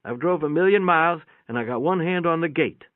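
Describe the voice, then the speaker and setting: A man's voice: fairly high pitch, brisk, no echo, phone-quality sound, voice pushed up, lightly acted. A man voicing a sly, boastful elderly country mother in a story reading, teasing and folksy, with a radio-like sound. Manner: teasing, folksy ungrammatical talk. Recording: band-limited, radio-like sound.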